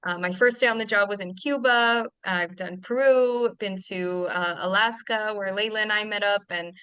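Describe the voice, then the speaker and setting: A woman's voice: mid-range voice, moderate pace, sharp tone, clear, resonant tone, no room sound, highs cut off. A woman from Canada hosting a casual travel webinar, upbeat and chatty with many fillers. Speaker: webinar host.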